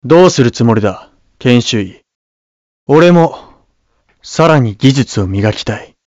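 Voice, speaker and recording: Man acting a slow-spoken, proud young surgeon hero. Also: medium pitch, lively intonation, a little mellow, dry recording, lo-fi sound, natural voice. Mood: composed, determined. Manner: curt, haughty, solemn declarations. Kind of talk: video game battle voice lines.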